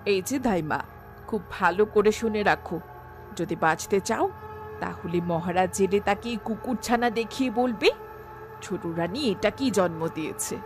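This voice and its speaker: A woman's voice: medium pitch, a little slow, music in the background, natural voice. A woman playing a cold, scheming queen in a fairy-tale cartoon, with a commanding, menacing manner. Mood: cold threat.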